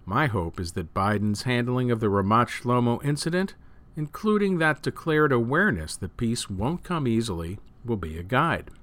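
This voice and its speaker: A man reading news and opinion articles aloud as a host, with measured, emphatic phrasing that falls away at sentence ends. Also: medium pitch, lively intonation, a bit bright, no room sound. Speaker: news reader and show host.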